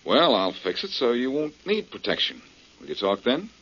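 A cool amateur sleuth from a 1940s radio mystery. He probes briskly and wisecracks with a husky, lively delivery. The old broadcast recording is muffled and worn. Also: mid-range voice, full character voice. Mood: shrewd, pressing. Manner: brisk, confident, probing. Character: cool, shrewd, wisecracking male amateur detective.

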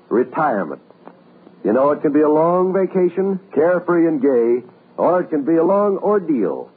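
A man's voice: on the high side, slightly rough. A man announcing a vintage radio program in a scripted 1950s broadcast style. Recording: muffled tinny old radio recording.

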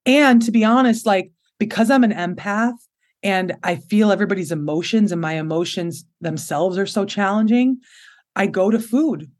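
A woman in her thirties with a low voice, speaking openly and expressively as a guest in an interview, laughing often. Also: moderate pace, darkish tone. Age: 30s. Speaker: podcast guest. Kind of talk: candid conversational interview.

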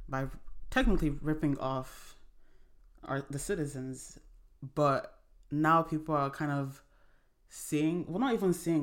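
A young adult from Nigeria, a podcast guest, talking in a rambling, heated, casual way. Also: mid-range voice. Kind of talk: casual chat.

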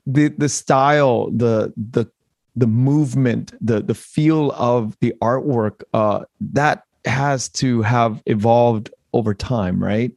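A man with an American accent hosting a casual interview, halting as he searches for words and repeats phrases. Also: medium pitch, creaky, darkish tone. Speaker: interview host.

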